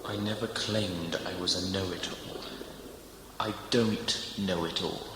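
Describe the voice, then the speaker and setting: A man's voice: deep voice, steady tone, slow. Actor as an earnest, bewildered man, heavily echoing. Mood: humbled. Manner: questioning, pleading. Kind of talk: audio drama acting. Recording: strongly echoing space with background noise.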